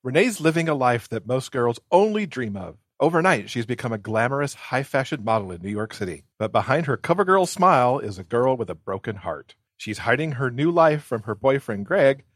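A man's voice: mid-range voice, sing-song, fairly quick, few pauses, dry recording, clean studio sound. A man speaking as a guest on a podcast, in casual chat or reading aloud.

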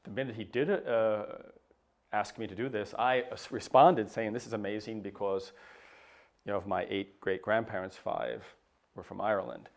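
A middle-aged man speaks in a lowish voice. He answers an interviewer slowly and reflectively, stumbling into frequent restarts and fillers. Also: steady tone. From United States. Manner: hesitant, many restarts and fillers. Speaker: papal nuncio, interview guest.

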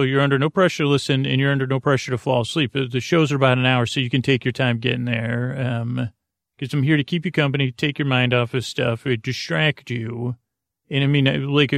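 Male sleep-podcast host. Meandering, stop-start delivery with plenty of vocal fry, very dry sound. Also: medium pitch, dry recording. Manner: meandering, halting, repetitive. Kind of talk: rambling sleep podcast monologue.

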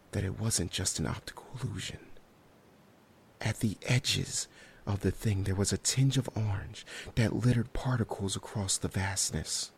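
A man's voice: fairly low pitch, vocal fry, a little mellow, a little hiss or hum. An actor playing a haunted survivor in a horror audio drama, recounting his ordeal in a hesitant, solemn and descriptive way. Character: haunted male survivor recounting a harrowing night.

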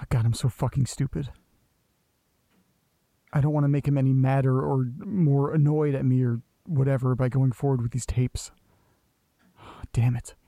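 A man's voice: mid-range voice, fairly even intonation, normal speed, vocal fry, pauses often, dark tone, dry recording, close to an everyday voice. An actor playing an anxious, self-berating man who mutters hesitantly to himself in a naturalistic audio drama. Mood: flustered, self-loathing.

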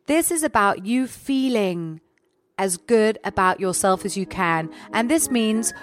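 A woman's voice: mid-range voice, expressive, measured pace. A woman in her forties co-hosting a casual chat, talking in an earnest, reflective way. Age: 40s.

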